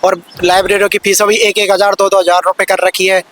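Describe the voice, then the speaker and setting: Young man, high voice, flat monotone, aggrieved earnest complaint, outdoor street interview. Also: fast talker, no room sound. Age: 20s. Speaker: job aspirant at a protest.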